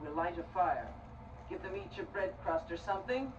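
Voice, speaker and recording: A man's voice: high-pitched, animated, normal speed, dull audio. A man playing a wicked, selfish woodcutter's wife in a stage story, scheming and scolding with theatrical emphasis. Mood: bossy, conniving. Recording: distant mic, clear background noise.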